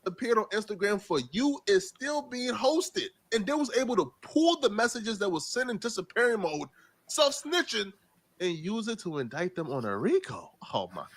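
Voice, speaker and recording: A man hosting a reaction show, giving casual, animated commentary in slangy street talk. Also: high-pitched, moderate pace. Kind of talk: casual commentary on court news.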